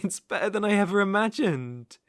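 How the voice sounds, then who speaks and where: A man's voice: high-pitched, expressive, fairly quick, no echo, clean studio sound. A British man playing a cruel, doting sorcerer in an ASMR roleplay, cooing baby talk with smug menace. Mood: delighted, cooing. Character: gloating, doting, cruel male sorcerer captor.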